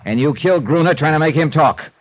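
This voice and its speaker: An actor playing a tough, wry, confident investigator with an American accent, punchy and sardonic in 1950s radio style. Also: male voice, a little high, fast, a little hiss or hum, close to an everyday voice. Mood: tough, interrogating. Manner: punchy, hard-boiled, sardonic. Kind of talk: 1950s radio acting and first-person narration. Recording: dull old radio recording with music.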